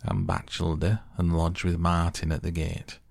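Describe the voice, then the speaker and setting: A man voicing a gruff, sarcastic old Yorkshire gardener with blunt, grumbling dialect speech in an audiobook story. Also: sits low, level tone, normal speed, darkish tone, dry recording, clean studio sound. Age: older.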